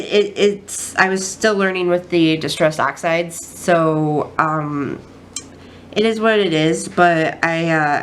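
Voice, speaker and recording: Woman, very low voice, nasal and stuffed up, rambling casual chat, faint hiss behind. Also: a bit bright. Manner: rambling with many ums and self-corrections. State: stuffy nose from a cold. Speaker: craft vlogger. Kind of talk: casual flip-through chat.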